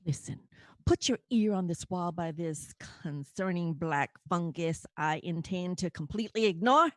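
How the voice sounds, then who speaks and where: A woman's voice: fairly low pitch, moderate pace, no room sound, clean studio sound, natural voice. A woman playing a sarcastic young housemate with a casual, wry manner in a comedic audio play.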